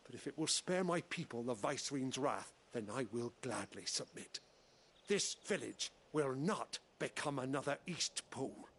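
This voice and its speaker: An actor playing a stern, wary village leader in a fantasy video game, husky and firm, speaking formally. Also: male voice, medium pitch, lively intonation, moderate pace, fairly bright tone. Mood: grave, resigned. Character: stern, wary male village leader, proudly resigned to submission. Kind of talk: scripted video game acting.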